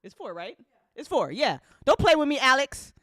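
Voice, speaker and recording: A woman hosting a casual livestream, effusive and excitable, repeating phrases and swearing freely. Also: sits high, normal speed, dry recording, clean studio sound. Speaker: host and voice actor.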